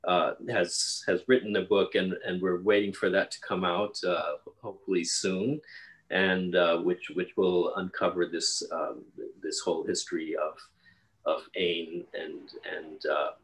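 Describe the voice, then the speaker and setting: Deep-voiced man, measured and hesitant. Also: level tone, fairly bright tone, low-quality recording. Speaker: architect guest. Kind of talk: panel conversation. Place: living room. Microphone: video call.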